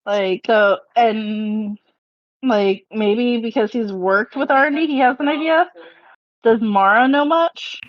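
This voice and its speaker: A woman's voice: on the high side, slow pace, no echo. A woman chatting hesitantly in character as a tabletop game player, on lo-fi audio. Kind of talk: casual in-character game chat.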